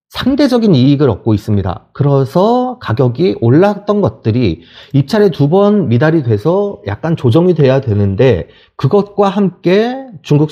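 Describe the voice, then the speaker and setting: Animated man commentator, dull muffled recording. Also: fairly high pitch. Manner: explanatory, rhetorical questions, emphatic swings. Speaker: channel host and solar industry worker. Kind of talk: opinionated news commentary.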